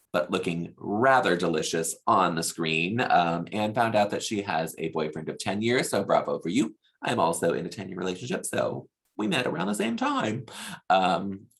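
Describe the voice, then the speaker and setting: A man's voice: deep voice, creaky, fairly bright tone. A man giving a chatty, opinionated recap as a host, with a casual, gossipy way of talking. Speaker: podcast host reviewing a TV show.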